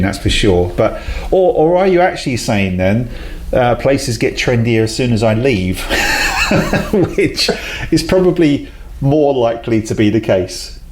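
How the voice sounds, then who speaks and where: A man's voice: on the low side, a little hiss or hum. A man, a talkative, self-deprecating guest who laughs often in a casual interview. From South London, England. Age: 40s. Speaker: guest, head of a talent company.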